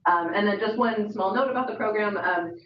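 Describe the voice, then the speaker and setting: A woman's voice: medium pitch, fairly quick, a little hiss or hum. A woman moderating a panel at an event, hesitant with many fillers. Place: event room. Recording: echoey room from a distance, dull.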